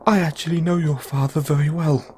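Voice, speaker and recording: A man's voice: fairly high pitch, steady tone, brisk, a little mellow, a little hiss or hum, close to an everyday voice. A man playing a kindly middle-aged father in an audio roleplay, nervous, awkward and stammering. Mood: flustered, uneasy.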